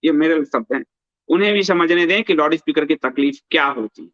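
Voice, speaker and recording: A man's voice: on the high side, fairly quick, a bit bright. A man hosting news commentary, reading aloud and adding emphatic remarks, repeating phrases for stress, in a dull lo-fi recording. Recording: dull, lo-fi band-limited sound.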